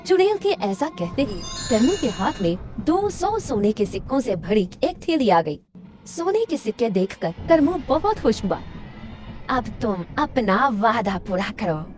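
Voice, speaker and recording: A woman's voice: high voice, sing-song, moderate pace, dark tone, soft background music. A woman narrating a scripted moral story for children.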